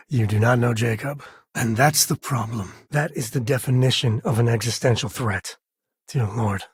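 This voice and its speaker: An actor playing an easygoing, wry space cowboy lawman in a video game, protective and loyal, with a folksy, teasing delivery and a husky voice. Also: mid-range voice, dry recording, studio quality. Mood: conversational, wry. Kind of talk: video game character voice lines.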